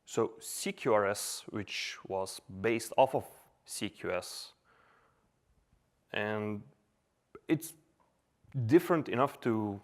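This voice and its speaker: A man's voice: fairly low pitch, expressive, slow pace, long pauses. A man in his thirties from Serbia giving a casual, chatty conference talk with many filler words, on a stage mic. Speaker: conference speaker. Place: conference hall.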